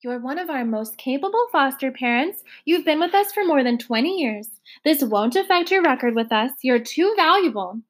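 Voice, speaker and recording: A woman's voice: high voice, expressive, moderate pace, few pauses, voice pushed up. A woman voicing a firm, businesslike female social caseworker in a storybook reading. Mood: persuasive.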